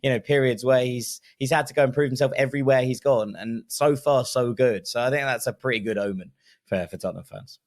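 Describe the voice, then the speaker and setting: A man's voice: medium pitch, brisk. A man hosting a casual football podcast, talking in an easygoing, hesitant way. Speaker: podcast host.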